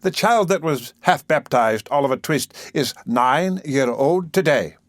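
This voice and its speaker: A man's voice: on the high side, expressive, unhurried, no room sound. A man doing a pompous, choleric beadle. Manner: pompous, self-important oratory. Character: fat, choleric, pompous male parish beadle.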